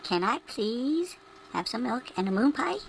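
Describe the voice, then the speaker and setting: A man voicing an eager, hungry young boy who shouts with excitement in a storybook reading. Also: very high voice, measured pace, gravelly, a little hiss or hum, pitched above the performer's own voice, full character voice. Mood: pleading. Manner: excitable, exclamatory.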